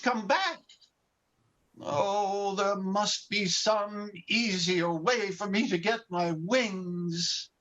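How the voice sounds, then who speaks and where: A man performs a gentle old guardian angel in a 1940s-style radio play. The voice is high and bright, with a slow, earnest delivery, heard through slightly dull call audio. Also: full character voice. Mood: reluctant. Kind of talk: 1940s radio drama acting.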